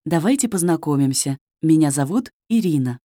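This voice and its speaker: A woman's voice: sits low, expressive, normal speed, warm tone, no room sound, clean studio sound. A woman playing a friendly journalist in a scripted textbook dialogue, reading evenly and carefully. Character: adult female journalist, friendly and polite. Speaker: dialogue actor in a listening test.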